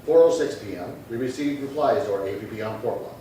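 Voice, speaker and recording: A man playing a businesslike, deadpan police detective, delivering clipped dialogue and case narration in a 1950s-style radio crime drama. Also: mid-range voice, fast, close to an everyday voice. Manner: clipped, deadpan, matter-of-fact. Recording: very echoey room, distant mic, faint noise.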